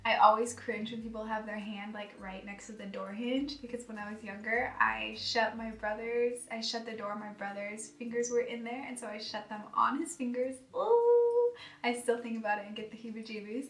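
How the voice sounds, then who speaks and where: A soft-spoken American woman in her twenties chatting casually and giggling in a vlog. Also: a little high, moderate pace. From United States. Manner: chatty, giggly, self-deprecating. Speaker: vlogger. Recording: echoey room, voice a bit far from mic, faint music.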